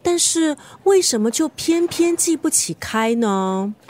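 An actress playing a frank, chatty middle-aged best friend in a radio drama, with a Singaporean accent. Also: female voice, high voice, expressive, unhurried, darkish tone. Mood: concerned. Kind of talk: Mandarin radio drama acting.

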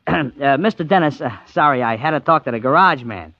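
A dry, muffled vintage radio recording of a cocky male sidekick. He pitches his voice fairly high and bounces it around eagerly. He sounds keen, boastful and good-natured, always ready to play a part. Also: lively intonation, brisk, bright, forceful tone, no echo. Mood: boastful, putting on. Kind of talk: 1940s radio comedy-mystery acting.

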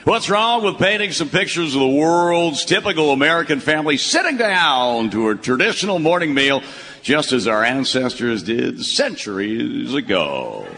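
Radio play: elderly ex-broadcaster, folksy and grandiose, forceful announcer swoops, reverberant hall. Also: male voice, sing-song, moderate pace. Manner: booming announcer delivery at home. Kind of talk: old-time sportscaster patter, radio play. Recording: reverberant hall, faint noise.

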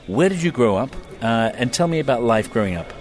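A man's voice: mid-range voice, animated, fairly quick. A man hosting a radio food show, interviewing a guest with short friendly prompts. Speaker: radio host.

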